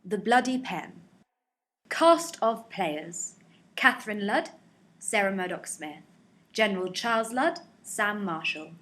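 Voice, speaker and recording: A woman's voice: mid-range voice, animated, slow pace, a bit bright. A woman announcer reading out scripted cast credits for a radio play.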